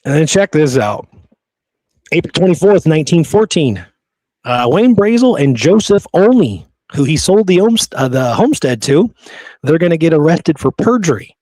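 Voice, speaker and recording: A man's voice: on the high side, very animated, moderate pace, a little mellow, no room sound, rough recording. A man hosting a history podcast, telling the story in an informal, conversational way and often checking in with the listener. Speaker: podcast host.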